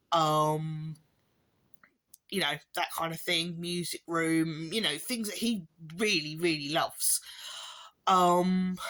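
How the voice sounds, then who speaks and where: A woman with a hoarse, fading voice from a chesty cough, giving a rambling, casual update to her viewers with wry little laughs. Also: fairly low pitch, fairly bright tone. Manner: rambling, with many ums and restarts, occasional wry laughs. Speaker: parent vlogger. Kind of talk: casual spoken update to camera.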